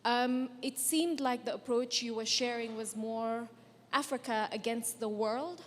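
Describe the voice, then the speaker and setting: Articulate Kenyan woman, higher voice, hall echo. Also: a little slow, a little hiss or hum. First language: Swahili. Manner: articulate, probing. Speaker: audience member. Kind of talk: asking a question at a forum. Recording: echoey conference hall.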